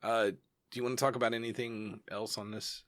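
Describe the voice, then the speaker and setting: A man's voice: medium pitch, flat delivery, a bit bright, dry recording, studio quality. A man co-hosting a podcast, rambling through ideas and teasing his co-host in casual chat. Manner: rambling, teasing, quick to laugh.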